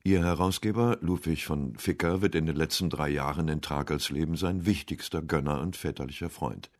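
A male narrator with an extremely deep voice reads a scripted radio essay. His delivery is measured and articulate, recorded in a very dry studio sound. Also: no echo. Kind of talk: scripted literary biography narration.

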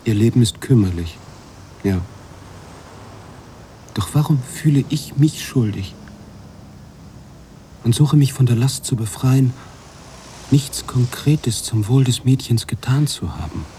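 An actor playing a brooding, guilt-ridden writer who narrates a radio drama in a deliberate, confessional way. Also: male voice, medium pitch, a little hiss or hum, lightly acted. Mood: guilty, pleading. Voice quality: husky, hushed at times. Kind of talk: literary radio drama monologue.

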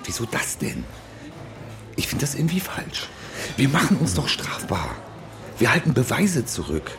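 An actor playing a nervous, doubtful call-centre worker turned amateur sleuth in a radio crime drama, colloquial and hesitant. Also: male voice, mid-range voice, huge pitch swings, moderate pace, creaky, a bit bright, faint music behind, exaggerated voice.

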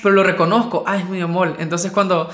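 A man's voice: high voice, level tone, quick pace, a bit bright, a little hiss or hum. A man with a Honduran accent speaks in a casual, reflective interview style. His voice is recorded in a roomy space with noticeable echo. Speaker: interviewee, countertenor singer. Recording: echoey room interview.

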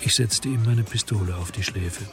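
An actor playing a weary, hard-boiled investigator in a radio drama, with terse, laconic delivery and a husky voice. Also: male voice, on the low side, normal speed, loud music behind the voice, natural voice. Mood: cold menace. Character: weary, hard-boiled male investigator telling his story. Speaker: first-person narrator and lead actor. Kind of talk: German radio drama narration and dialogue.

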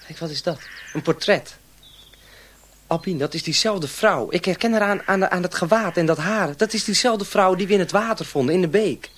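An actor playing a tender, anxious young lover in a radio drama, earnest and halting, often murmuring. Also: male voice, high voice, fairly bright tone. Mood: curious. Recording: clear outdoor nature noise.

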